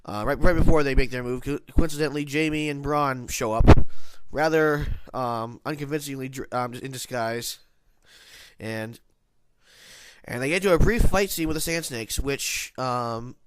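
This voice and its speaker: A man's voice: mid-range voice, moderate pace, smooth. A man with a nasal voice, congested from hay fever, giving a casual, rambling spoken review of a TV episode. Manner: rambling, many restarts and self-corrections.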